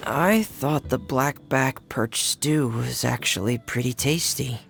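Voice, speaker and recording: A polite young male assistant in a video game. He speaks slowly and hesitantly at a middle pitch, with lively inflection and faint rumble behind him. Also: gravelly. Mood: sheepish. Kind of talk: video game cutscene dialogue.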